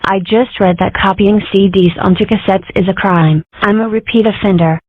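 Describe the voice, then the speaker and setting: A woman's voice: mid-range voice, steady tone, normal speed, husky, lightly acted. Muffled, phone-like cartoon wolf girl, sarcastic played by a woman. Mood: dramatic worry. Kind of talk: amateur comic strip dub.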